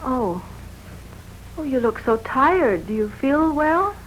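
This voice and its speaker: An anxious, devoted wife speaks in a high voice that swings widely in pitch. It is melodramatic 1940s radio acting on a hissy old recording. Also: female voice, big pitch swings, takes it slowly, full character voice, rough recording. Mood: anxious, tender.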